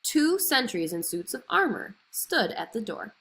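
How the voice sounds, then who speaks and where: A woman reading a children's fairy tale aloud as an expressive storyteller. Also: a little high, unhurried. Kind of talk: expressive scripted story narration.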